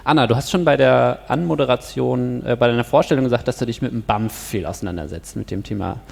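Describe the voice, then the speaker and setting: A man moderates a panel, steering the guests and summing up their points. His voice is gravelly with a creaky edge. A long hall reverb hangs on it. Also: medium pitch, fast talker, a little mellow. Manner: guiding, summarising, with fillers.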